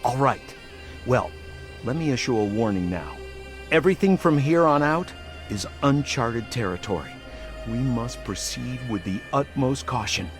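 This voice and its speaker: A man's voice: mid-range voice, animated, a little slow. A man voicing a chatty, self-promoting young scoundrel guide in an anime-style game, glib and theatrical. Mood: mock solemn. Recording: faint music behind.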